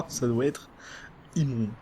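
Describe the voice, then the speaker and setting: A man's voice: medium pitch, very slow, warm tone, slight background noise. A man playing a flippant colleague on an investigation in a French audio drama, casual and wry with loose, hesitant phrasing. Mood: wry, musing. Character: flippant, chatty male investigation colleague cracking dark jokes.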